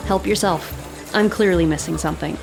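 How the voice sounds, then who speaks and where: A woman's voice: on the low side, moderate pace, creaky. Feisty, chatty woman hacker. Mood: friendly, impressed. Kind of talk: audio drama acting. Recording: music behind.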